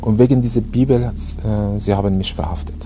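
A middle-aged man with an Iranian accent gives a personal testimony in halting German, full of pauses and fillers. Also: fairly low pitch, steady tone, dark tone, a little hiss or hum. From Iran. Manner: halting, many fillers and restarts. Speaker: interviewee.

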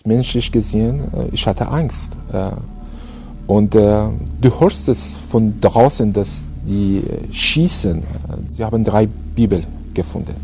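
A middle-aged man with an Iranian accent tells his own story, slowly and haltingly. His voice is low, dark and gravelly, and he stops often on fillers. Soft music plays faintly behind him. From Iran. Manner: halting, many fillers and restarts. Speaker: interviewee. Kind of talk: personal testimony.

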